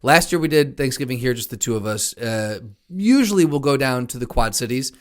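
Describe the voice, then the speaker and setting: A man from the United States chats as a podcast co-host, his voice sitting at a middle pitch. He talks in an easygoing, playful way, trading banter in casual conversation. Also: animated, a little fast.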